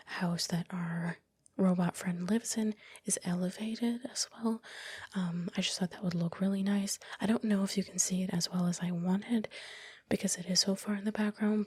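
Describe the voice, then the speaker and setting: A woman giving soft, unhurried ASMR commentary in a breathy whisper, explaining informally, close to the microphone. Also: fairly low pitch, no room sound. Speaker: video creator.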